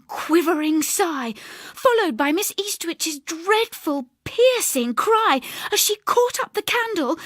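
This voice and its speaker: A woman reading a ghost story as dramatic scripted narration, with hushed, suspenseful and deliberate phrasing. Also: very high-pitched, dry recording, studio quality. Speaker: audiobook reader.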